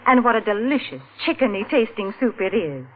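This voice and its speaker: A woman announcer giving a chatty, homespun sponsor pitch in 1940s radio style. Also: big pitch swings, brisk. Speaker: commercial announcer and host's foil. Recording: thin, narrow old radio recording.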